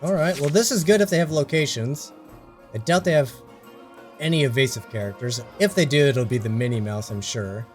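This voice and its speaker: A man's voice: medium pitch, fairly quick, soft background music. A man commentating his card game play while sick and losing his voice, chatty as he explains his choices. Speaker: card game content creator. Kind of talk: casual gameplay commentary.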